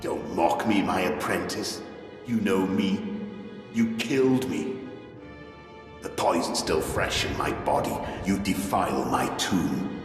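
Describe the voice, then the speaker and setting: Mad dead Sith lord, game villain, very deep voice, cavernous reverb, menacing, bitter. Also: male voice, slow pace. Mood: bitter, accusing. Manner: menacing, rambling, repetitive. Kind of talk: dramatic video game acting. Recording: cavernous long reverb over music.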